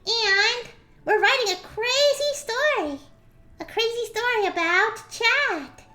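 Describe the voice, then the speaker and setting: A woman streamer with a pitch-raised squeaky voice filter, casually chatting and reading a story aloud, often clearing a scratchy throat. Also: lively intonation, slow pace, bright, forceful tone. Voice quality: squeaky voice from a slime avatar filter. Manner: giggly, reads story text aloud with voiced quotes.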